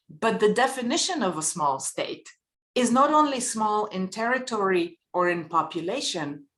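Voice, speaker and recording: A woman from Bulgaria giving earnest, measured panel remarks and posing rhetorical questions. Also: mid-range voice, a bit bright.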